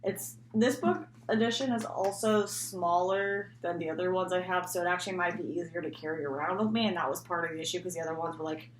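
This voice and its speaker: A woman's voice: lowish voice, fast talker, few pauses. A woman talking casually and unscripted as a book vlogger, rambling and often interrupting herself. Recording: echoey room, faint background noise.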